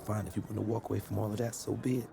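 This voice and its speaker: A man's voice: lowish voice, flat delivery, rapid-fire, creaky, a crowd in the background. A man acting a loyal, streetwise American sports agent in a game cutscene, persuasive and speaking in punchy short phrases. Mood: earnest, wounded. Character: loyal streetwise male sports agent advising a young player.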